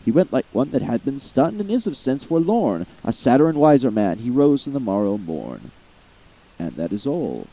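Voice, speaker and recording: A man's voice: mid-range voice, very animated, moderate pace, mellow. Male amateur reader, theatrical verse delivery, wide-ranging intonation; muffled lo-fi sound. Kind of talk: dramatic poetry reading.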